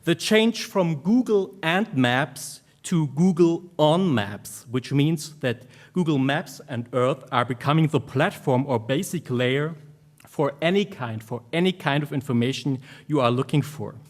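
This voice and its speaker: The speaker is a man with a German accent and a voice pitched a little above average. He presents academic research to a conference in an informal way, with phrases that halt and restart as he goes. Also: animated, measured pace.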